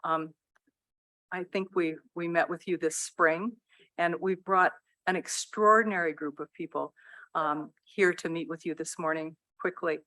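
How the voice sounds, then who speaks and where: A woman's voice: fairly low pitch, fairly even intonation, unhurried, pushed and bright, no room sound, rough recording. Polite woman addressing a council, dry sound. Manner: polite, with many fillers. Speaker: program presenter addressing council. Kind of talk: formal presentation. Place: council meeting room.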